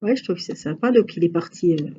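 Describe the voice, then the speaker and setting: A woman's voice: on the low side, lively intonation, quick pace, mellow, lo-fi sound, slight background noise. A woman with a regional Franche-Comté accent chatting casually to her viewers while crafting, rambling with many hesitations. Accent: Franche-Comté French. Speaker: crafting vlogger.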